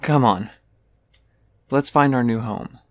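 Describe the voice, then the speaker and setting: A man voicing a young pony, a gentle, protective big brother who speaks in a soft, reassuring way in a story. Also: medium pitch, takes it slowly, dry recording, studio quality, natural voice. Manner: soft, encouraging, caring.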